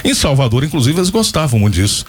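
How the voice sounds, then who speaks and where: A man's voice: mid-range voice, sing-song, fast talker, husky. A man in his fifties hosting a radio show, chatting casually and rambling off into stories and asides. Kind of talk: casual on-air chat and storytelling.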